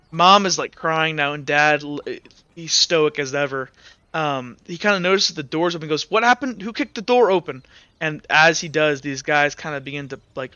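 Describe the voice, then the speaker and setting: Rambling game master man, bright voice. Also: on the high side, clear, resonant tone, no room sound. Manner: rambling, lots of fillers and hedges. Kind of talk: narrating a tabletop game. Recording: dull lo-fi audio.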